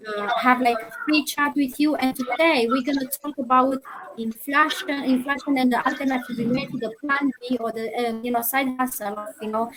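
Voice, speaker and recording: High-voiced woman host. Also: fairly even intonation, a crowd in the background, poor audio. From Romania. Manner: halting, heavy on fillers like "you know". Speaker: livestream host. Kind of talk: live online panel chat.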